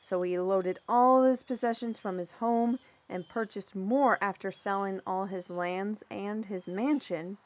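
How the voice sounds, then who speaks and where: Slow-paced chatty woman acting as a storyteller. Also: mid-range voice, close to an everyday voice. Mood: storytelling. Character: chatty, amused female storyteller recounting royal lore. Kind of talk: in-character storytelling, audio roleplay.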